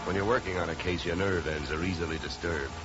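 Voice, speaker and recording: A man's voice: low voice, quick pace, very noisy, natural voice. An American man playing a sardonic, wisecracking insurance investigator in a 1950s radio detective drama. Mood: wry narration. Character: wry, hard-boiled male insurance investigator. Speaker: lead actor and narrator. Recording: muffled old radio recording, music behind.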